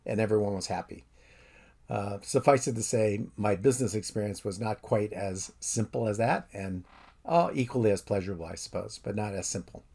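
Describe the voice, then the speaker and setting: A professor in his fifties giving a methodical, recorded introduction to his course. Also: mid-range voice. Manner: steady, methodical explainer.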